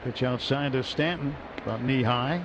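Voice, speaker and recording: A man calling a baseball game on the radio as play-by-play announcer, brisk and lifting with excitement on big plays. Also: medium pitch. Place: ballpark broadcast booth. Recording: muffled broadcast audio with crowd noise.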